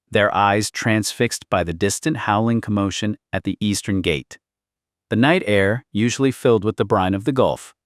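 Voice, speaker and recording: A man's voice: fairly low pitch, dry recording, studio quality. A man narrating historical fiction as a scripted audiobook, in a theatrical, intense and suspenseful manner.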